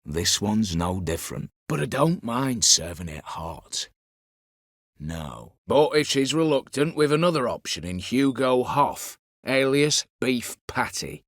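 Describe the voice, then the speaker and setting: A man's voice: medium pitch, sing-song, a little slow, no room sound, studio quality. An actor voicing a scheming, vengeful disinherited nobleman turned heist leader in a video game, with a colloquial, wry, conspiratorial way of talking. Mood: wry, smooth. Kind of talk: video game character dialogue.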